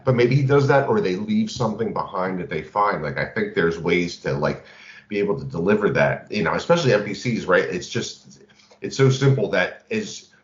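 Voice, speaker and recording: A man's voice: low-pitched, lively intonation, moderate pace. A man co-hosting a podcast, casually discussing tabletop games as a game master and often checking in with "right?". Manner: peppers talk with "right?" check-ins. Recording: dull lo-fi, roomy echo.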